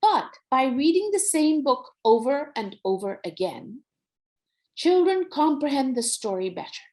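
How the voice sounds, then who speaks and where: Animated Nepali-accented woman presenting to parents. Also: high-pitched, a little slow. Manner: encouraging, instructive, mixes Nepali phrases into English. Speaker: workshop presenter on early reading.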